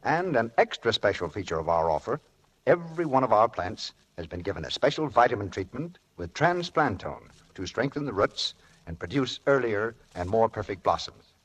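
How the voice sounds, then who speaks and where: Lively, husky male vintage radio announcer. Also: on the low side, moderate pace. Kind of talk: 1940s scripted radio advertisement. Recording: muffled old radio recording.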